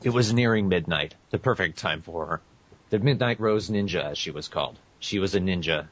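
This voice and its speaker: A man with a very deep voice co-hosts a casual group podcast. His delivery is conversational, and the sound is dull and lo-fi. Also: a little fast. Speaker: podcast co-host. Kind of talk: casual group podcast chat, some reading aloud. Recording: muffled lo-fi internet podcast audio.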